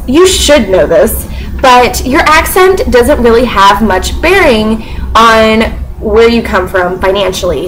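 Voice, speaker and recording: A woman's voice: a little high, a little hiss or hum, low-quality recording. A young woman from the US Midwest with a mostly neutral American accent, casually reviewing a book chapter with sarcastic asides, fillers and frequent laughter. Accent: mostly neutral American, sometimes Southern.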